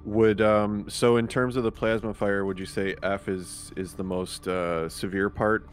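A man chatting casually as a player in a tabletop game, in a hesitant, halting way. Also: fairly low pitch, steady tone, soft background music.

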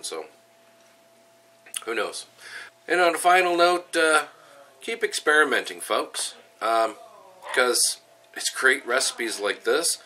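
A man's voice: low voice, sharp tone, recorded from a distance. A middle-aged man with a stuffy nose from a head cold, chatting casually and upbeat through a home brewing vlog. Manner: chatty, upbeat, with frequent ums and uhs. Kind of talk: casual how-to and tasting vlog. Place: home kitchen, then small room at home.

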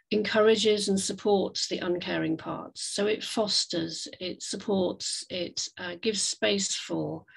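A woman giving an online slide talk as a guest speaker over a video call, with hesitant, reflective, measured phrasing. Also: on the low side, gravelly, breathy edge, poor audio. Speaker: guest speaker, climate café facilitator. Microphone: video call microphone.

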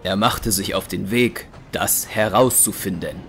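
A male narrator of an audio drama. His low voice tells the scripted story while music plays clearly behind him. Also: a little slow. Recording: background music under narration.

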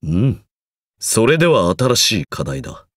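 A man's voice: fairly low pitch, very animated, unhurried, no room sound. Game role: stern male magecraft lecturer, formal, condescending, grudgingly encouraging, very varied intonation, dry close studio sound. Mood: measured approval. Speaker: voice actor in a mobile game. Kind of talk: scripted game character lines.

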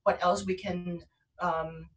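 A woman's voice: on the low side, level tone, slow, recorded from a distance. A woman co-hosting a casual chat over a video call. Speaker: co-host.

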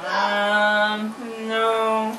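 A woman's voice: medium pitch, completely flat delivery, drawn-out words, bright, forceful tone, far from the mic, slight background noise. A woman voicing an anxious male rooster who talks in a hesitant, stammering way, in an amateur home puppet show. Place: indoor room with a puppet stage. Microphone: far camera. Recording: roomy home video from afar.

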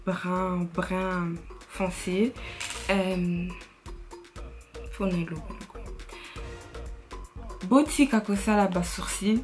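A woman's voice: mid-range voice, darkish tone. Young woman, Italian accent, chatty makeup vlogger, mid-range voice, faint music behind. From Italy. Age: young adult. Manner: chatty, mixes French and Lingala, frequent fillers. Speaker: beauty vlogger. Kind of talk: casual makeup tutorial chat. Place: bedroom, indoors.

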